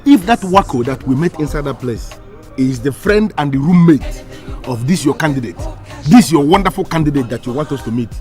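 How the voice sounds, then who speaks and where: A high-pitched Nigerian man played by an actor, pompous and indignant, in a comedy film. His delivery swings widely and he piles up fancy words as he complains. Music plays under him. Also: animated, fairly quick, lightly acted. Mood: exasperated, pleading. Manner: pompous, wordy, repeats himself with synonyms. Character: indignant, pompous, fussy man quarrelling with a friend. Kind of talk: comedic film drama acting.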